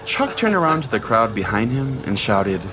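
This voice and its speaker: A man's voice: medium pitch, sing-song, moderate pace, a crowd in the background. A man narrating a scripted first-person comic story as a podcast host, in a dramatic, wry manner.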